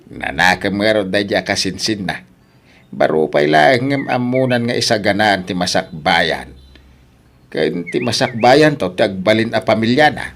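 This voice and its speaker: An older Ilocano husband in a radio drama. His voice is low and full of gravelly creak, and he talks in a practical, plain-spoken way. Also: animated, measured pace, fairly bright tone, full character voice. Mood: reflective.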